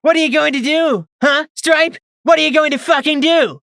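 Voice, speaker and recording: A man's voice: very high-pitched, normal speed, creaky, a bit bright, no room sound, clean studio sound, pitched above the performer's own voice, exaggerated voice. A man voicing a furious, vicious young female pony soldier who screams, swears and sneers. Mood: sneering.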